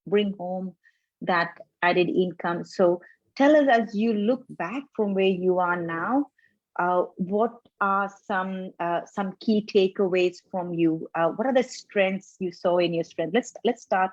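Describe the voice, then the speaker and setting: A woman's voice: mid-range voice, slow, no echo, muffled recording. A woman in her forties hosting an interview, enthusiastic and chatty with many fillers. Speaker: host. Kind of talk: interview host chat.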